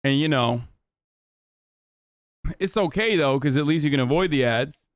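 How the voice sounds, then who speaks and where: A man's voice: a little high, lively intonation, normal speed, no room sound, clean studio sound. A man streaming himself reacting to a video, talking loosely and profanely with laughing asides. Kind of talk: casual livestream commentary.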